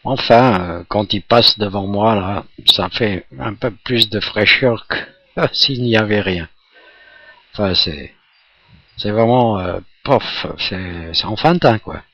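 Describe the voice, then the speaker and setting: Low-voiced man in a roomy, muffled recording. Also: slow pace, fairly bright tone. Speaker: amateur radio operator. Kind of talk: casual radio contact chat. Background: electric fan blowing nearby.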